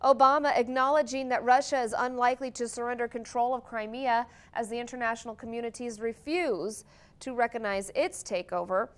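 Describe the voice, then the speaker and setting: Clear, higher-pitched American woman reading news. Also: fairly even intonation, fairly quick. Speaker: morning news anchor. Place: TV news studio.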